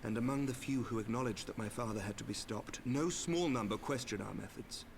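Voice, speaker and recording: Noble, duty-burdened male knight commander played by a man, mid pitch, formal game cutscene delivery, clear background ambience. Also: noisy background, natural voice. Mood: solemn, burdened. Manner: formal, measured, solemn. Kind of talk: scripted game cutscene acting.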